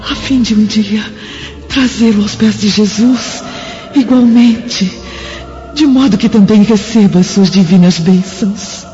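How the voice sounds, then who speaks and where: A woman performs a devoted female spirit with solemn, heartfelt formality. The voice is mellow and mid-pitched. It comes through a dull radio-drama recording with faint music behind. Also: measured pace, lightly acted. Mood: tender pleading. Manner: solemn, formal, emotive delivery. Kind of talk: dramatic radio play acting.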